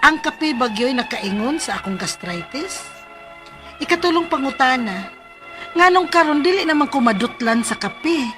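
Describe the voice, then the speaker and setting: Tired cebuano working mom in a radio drama, confiding, highish voice, music under it. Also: female voice, sing-song, moderate pace. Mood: troubled, pleading. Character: weary married working mother addicted to coffee, confiding. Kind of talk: Philippine radio drama acting and first-person letter narration.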